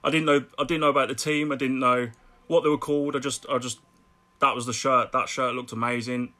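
A middle-aged man with a Birmingham accent, chatting casually as a podcast guest, laughing often and repeating words when excited. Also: medium pitch, a little fast. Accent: Birmingham English. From Birmingham, England. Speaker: guest artist.

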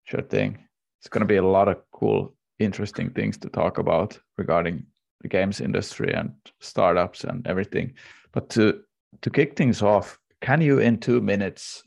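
Male podcast host, low-pitched with noticeable vocal fry, presenting to listeners. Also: a little mellow. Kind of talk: podcast intro, sponsor read and interview.

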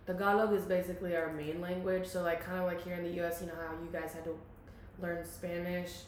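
A woman's voice: lowish voice, little pitch movement, fast talker. A woman in her twenties from the Philippines, chatting casually as a guest and filling her talk with "like.". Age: 20s. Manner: chatty, many "like" fillers, laughs often. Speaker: guest, student and local model. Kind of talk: casual chat. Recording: very echoey room, faint hiss.

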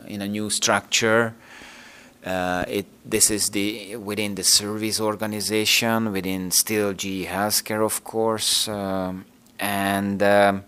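A man's voice: fairly low pitch, sharp tone, clear, resonant tone. A man, an English speaker, answering interview questions hesitantly, with many fillers and restarts. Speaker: interview guest, team manager. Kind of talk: casual interview talk.

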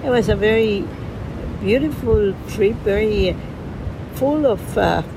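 A woman in her seventies with a Colombian Spanish accent, a yoga teacher telling her life story in a halting, gentle way, searching for words. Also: mid-range voice, animated, background noise. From Bolivia, raised in Colombia. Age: 70s. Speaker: yoga teacher, interview guest. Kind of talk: storytelling interview.

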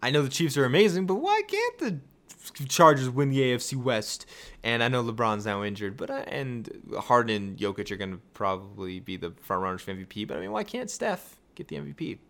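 A tired young man with a raspy voice, hosting a casual sports podcast and rambling through excited rhetorical questions. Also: mid-range voice, a little fast. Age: young adult. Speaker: podcast host.

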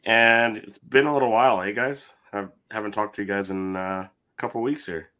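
A man co-hosting a relaxed podcast, talking in an easy, rambling way. His low voice has a bright edge, and a lingering cough leaves it slightly hoarse. The home mic sits close. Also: brisk. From Canada. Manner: easygoing, chatty with fillers. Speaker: podcast co-host. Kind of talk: casual podcast chat.

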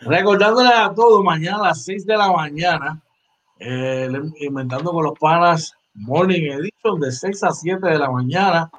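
A man's voice: fairly high pitch, normal speed, a bit bright. A man in his forties, a sports podcast co-host, chatting animatedly and repeating phrases. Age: 40s. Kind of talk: casual sports chat.

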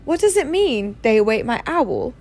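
An earnest young schoolboy from a storybook, played by a woman, puzzled and full of questions. He speaks hesitantly, in a fairly high pitch. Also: big pitch swings, normal speed, darkish tone. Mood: astonished, curious. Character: puzzled, earnest eleven-year-old schoolboy.

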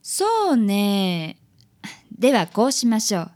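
A woman's voice: mid-range voice, sing-song, slow, a little mellow. A woman acting in a radio drama as a haughty, wealthy, elegant client who speaks in refined, polite feminine language and teases coolly. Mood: coolly demanding.

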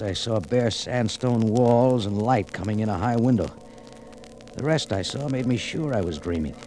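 An actor playing a tough, wry American cafe owner in a radio adventure, with clipped, sardonic, hard-boiled delivery. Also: male voice, lowish voice, darkish tone. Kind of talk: 1940s radio noir acting with first-person narration. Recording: noisy old radio recording with clicks.

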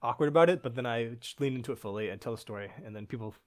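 A man's voice: medium pitch, very fast, darkish tone. Young American man telling a funny story. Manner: laughs often while telling a story. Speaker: podcast guest. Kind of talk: casual storytelling chat.

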